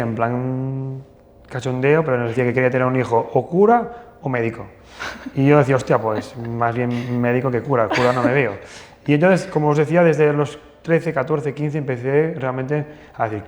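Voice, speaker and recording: Man telling stories, hesitant. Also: mid-range voice. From northern Portugal. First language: Portuguese. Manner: hesitant, many restarts and fillers, animated anecdotes. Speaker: plastic surgeon guest. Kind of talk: casual interview storytelling. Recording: long hall reverb.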